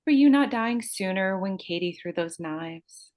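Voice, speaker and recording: Woman playing a mid-pitched, sly young beauty queen. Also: expressive, measured pace, a little mellow, lightly acted. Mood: calm, sly. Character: young beauty queen, eager helper hiding menace. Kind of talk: screenplay table read.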